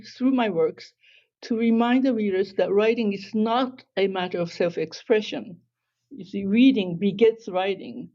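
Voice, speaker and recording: A woman's voice: fairly low pitch, warm tone, dull audio. Reflective woman with a Japanese accent. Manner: measured, reflective, with hesitant restarts. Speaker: guest novelist. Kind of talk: literary festival conversation.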